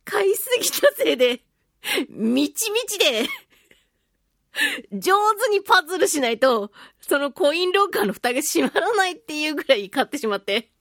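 A woman's voice: high-pitched, moderate pace, dry recording, clean studio sound. A woman hosting a talk show, telling a personal anecdote in a casual, playful and chatty way. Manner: animated, chatty storyteller with playful self-mockery. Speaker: host of a talk radio show, voice actress.

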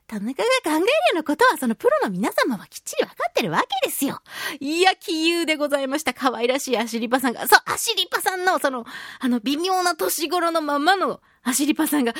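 A woman's voice: sits high, no echo, studio quality. A woman hosting a radio show, chatting casually and expressively in a solo talk. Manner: animated, expressive, acts out reactions and quotes. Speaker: radio show host, voice actress. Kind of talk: casual monologue talk, recounting a film outing.

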